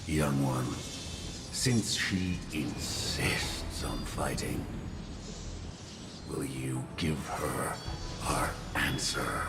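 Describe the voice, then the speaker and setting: An actor voicing a menacing ancient warlike spirit, taunting and deliberate, for a video game. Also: male voice, rumbling low, vocal fry, full character voice. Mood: menacing relish. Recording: echoing voice over loud music.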